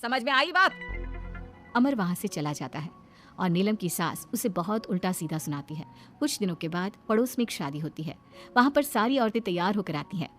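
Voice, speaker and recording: A woman's voice: lowish voice, expressive, a little fast. An Indian woman narrating a scripted bedtime story as a storyteller. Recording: background music under the voice.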